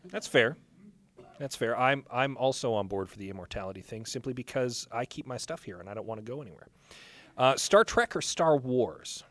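A man's voice: mid-range voice, expressive, moderate pace, dry recording. A man hosting a podcast, chatting casually as he interviews a guest at a live panel. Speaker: podcast host. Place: live panel with a small audience.

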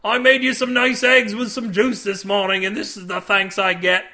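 A man's voice: high voice, flat delivery, moderate pace, pushed and bright, voice pushed up. A man voicing an indignant older fat sea cook in an audiobook, fussy and aggrieved.

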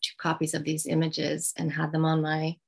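A middle-aged woman author giving thoughtful interview answers, pausing often with ums. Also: low voice, monotone, gravelly, husky. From United States. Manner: thoughtful, halting, many ums. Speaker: author guest.

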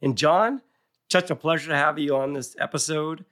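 A man's voice: on the high side, normal speed, fairly bright tone. A man hosting a podcast interview, upbeat and welcoming toward his guest. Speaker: podcast host.

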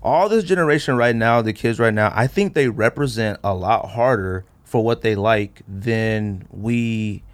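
A man's voice: on the low side, a little slow, few pauses. Slangy American man chatting casually. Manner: slangy, conversational. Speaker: podcast host. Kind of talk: casual chat.

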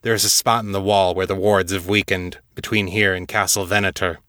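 Man voicing a deep, dutiful fortress captain. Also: flat delivery, a little fast, a bit bright, no room sound, clean studio sound. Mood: deferential. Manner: formal, deferential soldierly reporting. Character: very tall, dutiful military captain, fantasy garrison.

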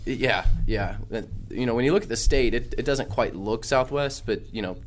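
A man's voice: medium pitch, normal speed, a little hiss or hum. A man and pastor with a head cold, talking casually on a podcast in a studio, explaining with lots of fillers and restarts.

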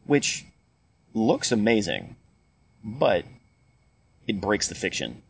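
A man's voice: fairly low pitch, wildly swooping pitch, takes it slowly, vocal fry, slightly rough. A man chatting casually about films, rambling with many restarts and fillers. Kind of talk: casual movie chat. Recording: muffled lo-fi recording with faint hiss.

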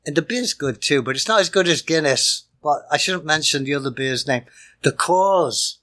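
A man's voice: a little high, expressive, normal speed, sharp tone. A man co-hosting a music show with casual, jovial and rambling banter. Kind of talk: casual chatty radio-style banter.

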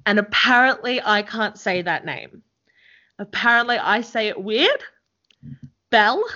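A woman's voice: fairly high pitch, measured pace, pushed and bright, muffled recording. A woman with a Melbourne Australian accent hosting a podcast in a bubbly, chatty way. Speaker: podcast host. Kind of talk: casual interview chat.

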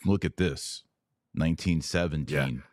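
Male podcast host, extremely low voice, casual delivery, dry close recording. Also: a little slow, a little mellow, no room sound.

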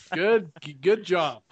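A man hosting a casual panel chat in a playful, deliberate way. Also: sits high, a bit bright.

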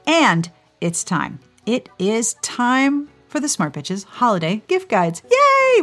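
A woman hosting a podcast, reading show notes in a playful, enthusiastic way with conversational asides. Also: mid-range voice. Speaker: podcast host. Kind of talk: chatty scripted podcast outro.

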